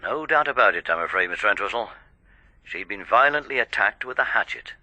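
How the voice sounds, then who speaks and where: An actor playing a businesslike, polite police inspector in a radio drama, brisk, official and courteous. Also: male voice, fairly low pitch, animated, bright, forceful tone, no room sound, heavily acted, poor audio. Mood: grave.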